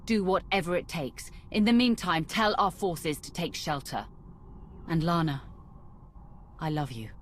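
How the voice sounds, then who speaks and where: A woman's voice: fairly low pitch, a little slow, long pauses, slight background noise, natural voice. An actress playing a confident, defiant female fleet commander in a video game, commanding and dryly sardonic. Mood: resolute. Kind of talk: video game cutscene acting.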